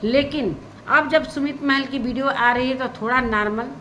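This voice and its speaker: A woman's voice: sits high, moderate pace, a bit bright, slight background noise. A woman vlogger giving casual, opinionated commentary, repeating her points for emphasis. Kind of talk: casual spoken commentary on social media gossip.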